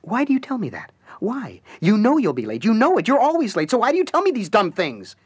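A man's voice: sits high, expressive, fast, slightly rough, voice pushed up. A man reading a story aloud, voicing an exasperated young wife who scolds her husband. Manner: sharp, nagging.

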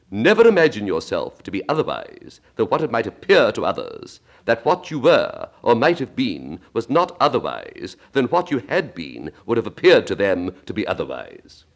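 A man's voice: on the low side, huge pitch swings, normal speed, pitched above the performer's own voice, exaggerated voice. A man voicing a prim, pompous old duchess who is fond of morals.

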